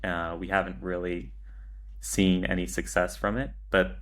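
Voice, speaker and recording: A man speaking casually as an interview guest, in a measured, matter-of-fact way with some hesitation. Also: deep voice, little pitch movement, fairly bright tone. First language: English. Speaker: podcast guest, agency founder.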